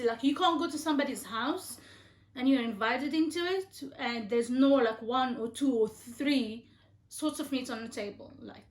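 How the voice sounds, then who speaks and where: A woman from Algeria chatting casually about her diet, hesitant, with many fillers and restarts. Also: on the high side. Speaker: co-host being interviewed. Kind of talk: casual conversational chat. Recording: echoey room.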